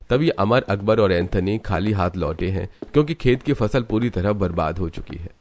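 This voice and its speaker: A man's voice: lowish voice, expressive, moderate pace, darkish tone, a little hiss or hum, natural voice. A man narrating a scripted cartoon family story as the storyteller.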